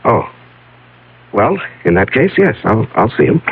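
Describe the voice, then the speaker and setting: A man's voice: on the low side, very animated, a little slow, creaky, breathy edge, heavily acted. An actor playing an evasive businessman in a 1970s radio drama, stammering and hedging under a genial surface. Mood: uneasy. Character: uneasy, evasive businessman hiding his secret, genial on top. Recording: tinny, band-limited old radio broadcast.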